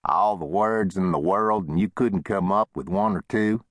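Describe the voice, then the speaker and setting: Man as a terse, deep-voiced western drifter. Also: normal speed, no echo, close to an everyday voice. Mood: sardonic. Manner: laconic, wry frontier talk.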